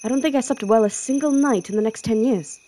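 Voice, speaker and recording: A woman's voice: on the high side, quick pace, dark tone, a little hiss or hum, lightly acted. A woman playing a grieving young princess in an audio drama, wistful and introspective, longing for her father. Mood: wistful, regretful. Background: music.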